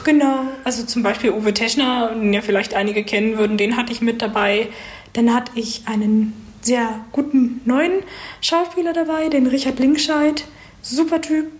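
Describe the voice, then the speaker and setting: A woman in her twenties from Berlin, explaining things informally in a casual interview with hesitant pauses. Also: fairly high pitch, steady tone. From Berlin, Germany. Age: 20s. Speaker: interviewee, creative writing student and audio drama writer. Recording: roomy, echoing interview recording.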